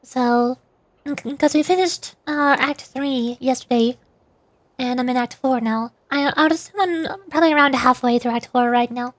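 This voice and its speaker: A woman's voice: high-pitched, normal speed, no echo. A woman chatting casually on a livestream while she plays a game. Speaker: streamer, VTuber.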